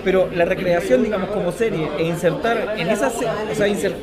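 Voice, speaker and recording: A man in his thirties talks fast in a high voice, blunt and full of slang as he co-hosts a podcast. A loud crowd murmurs behind him in a large room. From Chile. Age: 30s. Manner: animated, slangy, swears freely. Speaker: podcast co-host. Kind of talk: casual chat.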